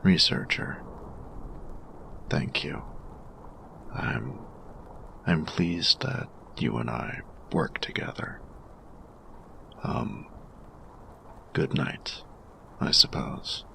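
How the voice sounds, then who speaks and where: Guy doing a grumpy tired inventor for audio roleplay, super low gravelly voice, stiff formal wording, slow n careful. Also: sharp tone, a little hiss or hum, heavily acted. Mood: weary, awkward. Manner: formal, stilted, precise diction with hesitant pauses. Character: grumpy, workaholic male artificer, formal, tired and reserved. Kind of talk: audio roleplay addressed to the listener.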